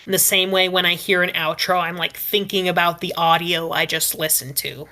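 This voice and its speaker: Podcast panelist: woman with a lowish voice, chatting through opinions in a measured, qualifying manner. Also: steady tone, sharp tone. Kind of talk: casual panel discussion giving opinions.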